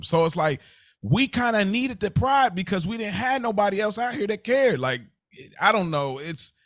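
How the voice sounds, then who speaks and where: A man from the United States talking as a podcast host, casual and slangy, thinking aloud in a halting, reflective way. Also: a little high, fairly bright tone, dry recording, studio quality.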